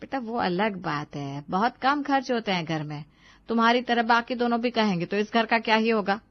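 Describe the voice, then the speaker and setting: A woman's voice: a little high, moderate pace, no room sound, highs cut off, close to an everyday voice. A woman playing a caring, thrifty middle-aged mother in a cartoon moral story, reasoning and scolding in a homely way. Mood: subdued, patient.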